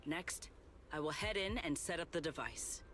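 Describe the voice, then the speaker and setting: An actor playing a formal, dutiful and courteous swordmaster in an anime game, with deliberate wording. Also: mid-range voice, fairly bright tone, a little hiss or hum, natural voice. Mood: businesslike. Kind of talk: scripted anime game acting. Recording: game music behind the voice.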